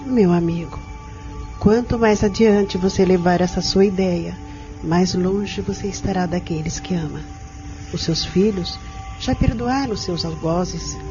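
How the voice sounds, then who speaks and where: An actress playing a gentle but firm woman counselling a troubled spirit in an audio drama, earnest and persuasive. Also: on the low side, measured pace, over music. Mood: patient, persuasive. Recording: dull lo-fi audio drama recording.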